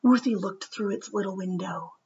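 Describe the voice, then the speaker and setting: Gentle, husky woman reading aloud. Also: medium pitch, normal speed. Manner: gentle and reflective with fillers. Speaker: read-aloud presenter. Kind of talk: children's book read-aloud. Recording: slightly muffled recording.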